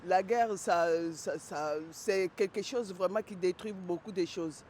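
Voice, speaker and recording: A man's voice: high voice, measured pace, darkish tone. A man speaking earnestly and emphatically in a street interview. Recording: faint city noise.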